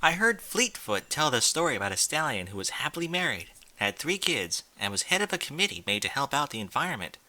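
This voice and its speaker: A man's voice: medium pitch, sing-song, brisk, few pauses, pushed and bright. A man reading fan fiction aloud in an informal, blunt way, with incredulous asides in casual speech. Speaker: fan fiction reader and commentator.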